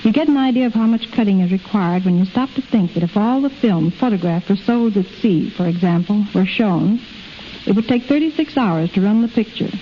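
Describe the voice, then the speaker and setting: A woman's voice: medium pitch, lively intonation, brisk. Muffled middle-aged woman on old radio. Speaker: film editor, interview guest. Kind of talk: scripted 1930s radio interview reading. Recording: muffled, hissy 1930s radio recording.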